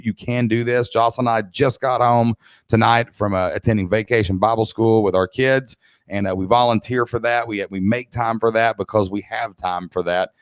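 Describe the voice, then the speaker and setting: A man's voice: medium pitch, clear, resonant tone, dry recording, studio quality. A man from Kentucky with a Southern accent, presenting a live online business training as a coach in a folksy, emphatic, motivational way. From Kentucky, United States.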